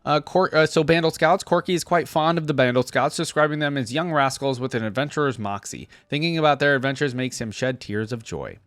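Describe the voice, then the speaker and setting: A man's voice: mid-range voice, expressive, brisk, hardly any pauses, fairly bright tone, no room sound. A man hosting a casual reaction video, talking informally and conversationally as he reads and thinks aloud. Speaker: video host.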